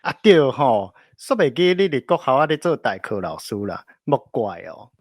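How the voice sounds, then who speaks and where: A woman's voice: rumbling low, sing-song, moderate pace, darkish tone, soft background music. A woman playing a boisterous, teasing grown-up in a family radio drama, exclaiming theatrically with a Taiwanese accent.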